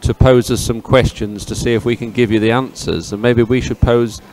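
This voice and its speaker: A man's voice: mid-range voice, steady tone, moderate pace, darkish tone, a little hiss or hum. A man giving live sports commentary in an improvised, somewhat hesitant way. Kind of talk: live race commentary and chat. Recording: music behind the voice.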